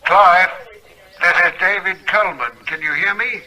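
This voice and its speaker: A man's voice: sits high, moderate pace, bright, forceful tone, poor audio. An actor playing an earnest, persuasive man, a concerned friend, in a naturalistic radio drama. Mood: urgent, pleading. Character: earnest, persistent male friend of a gunman, pleading calmly.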